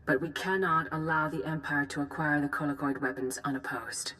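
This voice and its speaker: Casting: composed female Jedi leader, deep register, formal and grave, measured video game briefing delivery. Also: fairly even intonation, fairly bright tone, rough recording. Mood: grave, urgent. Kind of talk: scripted video game dialogue.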